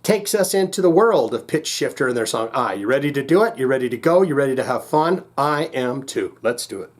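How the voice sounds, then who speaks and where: A man's voice: medium pitch, lively intonation, a little fast. A man hosting a casual music reaction, thinking aloud in a rambling, jokey, slangy way.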